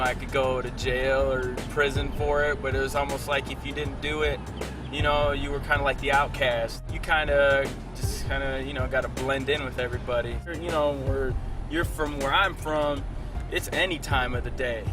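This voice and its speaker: A man in his twenties from Detroit talks casually in an interview, pausing often and filling gaps with "you know". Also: medium pitch, far from the mic. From Detroit, Michigan. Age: 20s. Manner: casual, halting, many fillers. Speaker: reality show cast member. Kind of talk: confessional interview.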